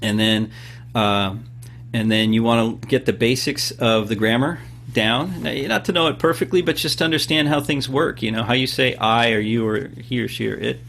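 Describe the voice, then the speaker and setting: A man in his fifties from California, explaining things at length in a relaxed interview, with many pauses and fillers. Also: medium pitch, gravelly, a little hiss or hum. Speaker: podcast guest and host of his own show. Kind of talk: casual interview talk.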